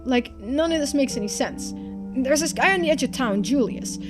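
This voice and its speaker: Woman voicing a high, anxious young boy. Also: lively intonation, music in the background. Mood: desperate. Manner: halting, pleading, anxious. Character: distressed, confused young boy in a mystery game.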